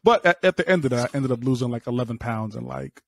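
A man's voice: medium pitch, animated, quick pace, gravelly, no echo, clean studio sound. Laid-back slangy man on a headset. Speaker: podcast co-host. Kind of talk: casual chat.

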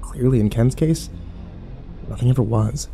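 A man's voice: medium pitch, normal speed, dark tone, natural voice. An actor playing a weary, haunted, cynical man who broods and swears through a first-person horror audio drama. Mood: shaken, grim. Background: music behind the voice.

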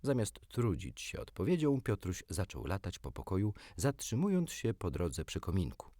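A man's voice: deep voice, wildly swooping pitch, moderate pace, mellow, no room sound, clean studio sound. A man narrating a children's storybook expressively, as an audiobook reader.

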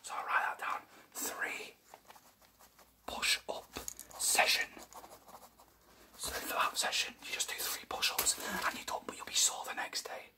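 A man's voice: a little slow, pauses often, far from the mic, natural voice. Whispering cocky boxer played by a young man. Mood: boastful. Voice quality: breathy whisper. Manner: bragging, repetitive. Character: boastful young male champion boxer, cocky and self-assured. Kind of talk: ASMR roleplay.

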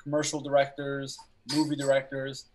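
A young man who grew up speaking Albanian, a filmmaker talking about his work as a guest on a video call, telling stories in a relaxed way with pauses to think. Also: medium pitch. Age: young adult. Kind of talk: casual interview chat. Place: small room at home.

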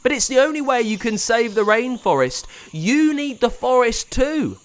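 A man's voice: very high voice, animated, normal speed, voice pushed up. A man voicing an eager young boy hero who exclaims urgently.

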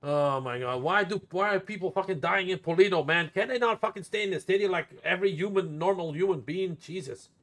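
A man chatting casually and swearing freely as he streams a role-play game as a medic, in an animated, exasperated way. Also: high voice, brisk, few pauses. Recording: background noise and game sounds.

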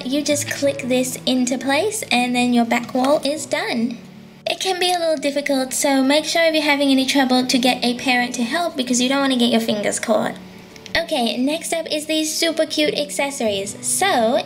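A young woman hosting a toy channel, chatting cheerfully through a casual unboxing. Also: high voice. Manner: bubbly, chatty, cutesy.

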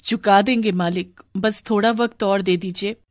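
A woman voicing a poor, tearful village housemaid and mother who pleads humbly in an animated story. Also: mid-range voice, normal speed, no room sound, studio quality, natural voice. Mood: humble, pleading.

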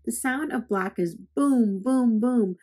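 A woman reading poetry aloud for children in an expressive style, with measured, deliberate phrasing. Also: on the high side, husky. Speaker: read-aloud host. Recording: music playing under the voice. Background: plucked guitar music.

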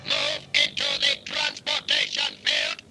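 High-pitched robot tyrant, grating electronic filter, staccato commands, loud music behind. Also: male voice, monotone, slow pace, full character voice. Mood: menacing. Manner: staccato, barking orders. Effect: metallic ring-modulated robot filter. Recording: music behind an amateur 1980s audio drama.

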